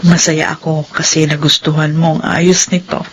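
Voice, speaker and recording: A woman's voice: low-pitched, level tone, normal speed, husky. A woman acting a loving, apologetic middle-aged mother in a Filipino radio drama, with a tender maternal manner.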